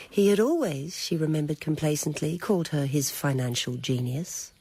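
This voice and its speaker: A narrator reading a scripted dramatic story in a measured, literary manner. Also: medium pitch, a little mellow, husky.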